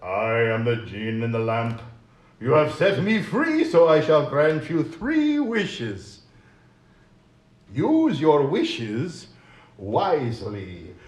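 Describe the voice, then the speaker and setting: A man doing a slow, grand lamp genie. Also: medium pitch, huge pitch swings. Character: solemn genie of the lamp, male. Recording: echoey room, distant mic.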